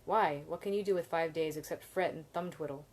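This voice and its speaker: A sarcastic young male student who teases his friend with dry mockery, as a woman reading aloud voices him. Her voice stays low and a little louder than her narration. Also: brisk. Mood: teasing. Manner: sarcastic, teasing.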